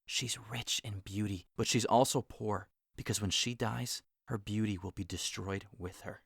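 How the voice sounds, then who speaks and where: A man's voice: lowish voice, fairly even intonation, normal speed, gravelly, breathy edge, no room sound, clean studio sound. An actor playing a lovesick young man in an audio drama, sighing and wistful, breaking into passionate outbursts. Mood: melancholy.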